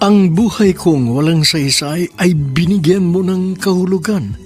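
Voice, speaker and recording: A man's voice: sits high, a little slow, a little mellow. A man with a Filipino accent hosting and narrating a radio drama in a formal, sentimental, scripted style. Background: faint music.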